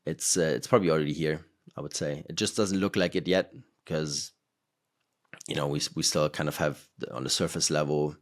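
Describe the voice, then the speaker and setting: Podcast host, man, extremely low voice, loose and rambling, filler-heavy casual talk. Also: fairly even intonation, brisk. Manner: rambling, filler-heavy, laughs between points. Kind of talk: casual conversation.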